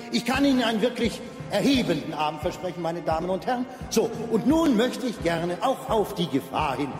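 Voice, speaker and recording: High-pitched, gushing showman in a hall. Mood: effusive welcome. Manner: grandiose, oily, effusive stage delivery. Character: gushing, theatrical showman compering the end of the universe. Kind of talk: bombastic cabaret master-of-ceremonies patter. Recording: echoey hall reverb, music and audience behind.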